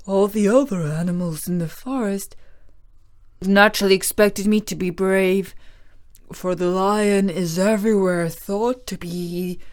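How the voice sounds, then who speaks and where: A woman voicing a timid, tearful male lion in a storybook reading, her voice raised and louder than her narration. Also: mid-range voice, lightly acted. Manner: sorrowful, plaintive storybook voice.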